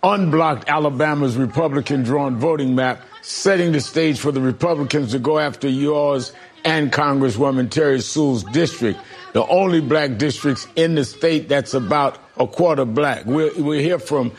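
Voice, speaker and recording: A middle-aged or older man hosting a political interview, speaking haltingly with a gravelly, husky voice and repeated words. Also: on the high side. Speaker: political talk show host. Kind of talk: broadcast news interview.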